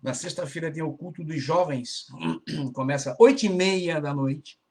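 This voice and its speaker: A man with a somewhat high voice hosts a church radio program. He talks in a loose, chatty way, sending greetings and making announcements, and keeps checking in with the listener. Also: measured pace, a little mellow. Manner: chatty, keeps tagging phrases with "né?". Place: Brazilian church community in Florida.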